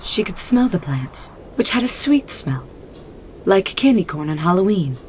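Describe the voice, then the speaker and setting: A woman's voice: medium pitch, very animated, a little slow, slight background noise, low-quality recording. A woman narrator reading a scripted story in the third person.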